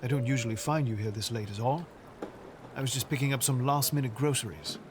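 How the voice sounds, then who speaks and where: An actor playing a polite, flustered, apologetic gentleman in an audio drama, courteous and hesitant. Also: medium pitch, a little fast, noisy background, natural voice.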